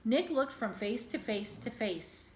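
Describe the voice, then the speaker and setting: A woman teacher reading a children's book aloud as an expressive storybook narrator. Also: a little high, measured pace. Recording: echoey room.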